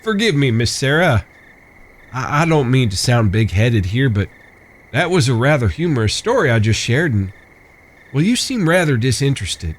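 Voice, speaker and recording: A man's voice: mid-range voice, sing-song, moderate pace. A man playing a cocky, chivalrous Old West gunslinger with folksy, courtly phrasing in a western audio drama. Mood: amused, storytelling.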